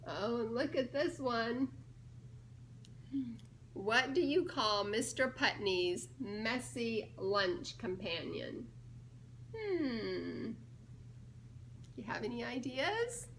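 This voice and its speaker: A woman hosts a read-aloud story time for small children. Her voice swings widely in pitch and moves at a slow, patient pace, stretching key words playfully and pausing after questions. There is faint noise behind her. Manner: playful, expressive, drawn-out delivery of key words with teasing pauses. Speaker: children's storybook reader and church children's ministries director.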